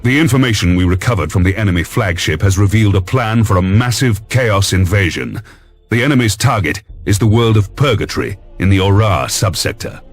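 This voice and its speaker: An actor playing a senior space navy commander in a video game, stern, formal and authoritative. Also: male voice, on the low side, lively intonation, brisk, faint music behind. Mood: stern, resolute. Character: authoritative senior male Lord Admiral, stern and resolute. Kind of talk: dramatic video game voice acting.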